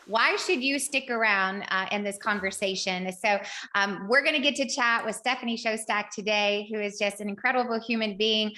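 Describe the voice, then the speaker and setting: Bright, high-voiced effusive woman podcast host. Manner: effusive, gushing. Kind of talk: chatty podcast introduction and interviewing.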